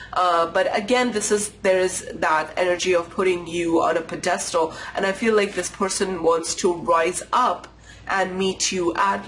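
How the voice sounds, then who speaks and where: A tarot reader giving a pick-a-card reading, voice nasal and a bit raspy from a cold, musing slowly with pauses. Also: medium pitch, a bit bright, slight background noise, rough recording. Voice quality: slightly raspy. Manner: unhurried, intuitive musing with pauses.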